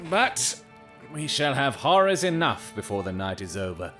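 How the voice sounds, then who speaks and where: An actor playing a keen, commanding gentleman detective in an audio drama, speaking in deliberate, formal, dramatic phrases. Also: medium pitch, very animated, faint music behind. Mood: grave.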